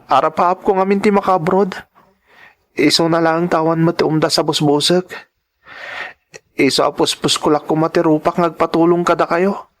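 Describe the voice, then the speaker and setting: A man's voice: a little high, fairly even intonation, moderate pace, pauses often, natural voice. A man playing an earnest, humble young farmer's son in a radio drama, with a pleading manner. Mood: shy, pleading. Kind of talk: Ilocano radio-drama acting.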